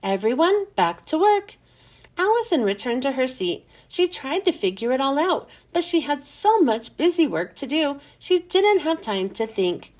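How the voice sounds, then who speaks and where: High-pitched, animated woman reading a storybook. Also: moderate pace, few pauses. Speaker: children's read-aloud host. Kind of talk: expressive storybook narration.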